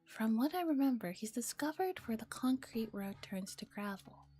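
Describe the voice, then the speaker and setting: Soft mellow girl streamer doing asmr game reading, chill and chatty, bit of music behind. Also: on the high side, lively intonation, moderate pace. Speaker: streamer hosting a visual novel ASMR stream. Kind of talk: soft ASMR reading with casual chat and commentary.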